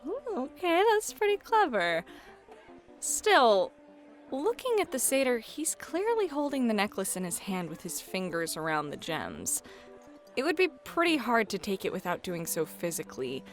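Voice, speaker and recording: A woman runs a fantasy roleplay as its game master. Her fairly high voice moves expressively up and down as she narrates and teases, over soft background music. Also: wildly swooping pitch, normal speed. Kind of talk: playful second-person fantasy narration, ASMR roleplay.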